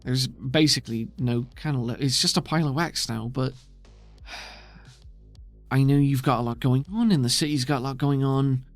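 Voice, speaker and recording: A man's voice: medium pitch, natural voice. A man voicing a weary, worried woman official who sighs and speaks frankly in a tabletop game.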